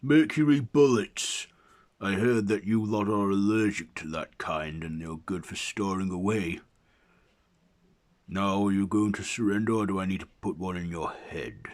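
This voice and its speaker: A man's voice: low voice, unhurried. A man voicing a cocky monster hunter who speaks in a firm, taunting way in a dramatic story.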